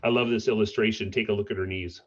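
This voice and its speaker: A man reading a picture book aloud to children in an expressive way, pausing to put questions to his listeners. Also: on the low side, dull audio. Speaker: school principal reading to children.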